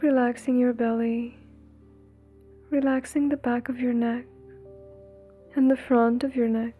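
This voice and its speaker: A woman leading a guided meditation in a soothing, breathy, gentle way, leaving long pauses between phrases. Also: a little high, little pitch movement. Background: faint music.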